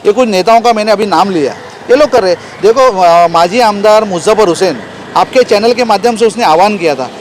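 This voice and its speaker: Guy, local politician, high voice, insistent interview answers, faint traffic noise. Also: a little fast, poor audio. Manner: insistent, argues with rhetorical questions. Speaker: local political party leader. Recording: outdoor street noise, passing vehicles.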